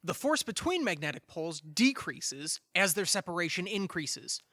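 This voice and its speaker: A man's voice: high-pitched, big pitch swings, normal speed, sharp tone, no room sound, clean studio sound, full character voice. A man playing a pedantic, smug twin brother in an audio drama, with a precise, lecturing way of talking. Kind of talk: audio theatre acting.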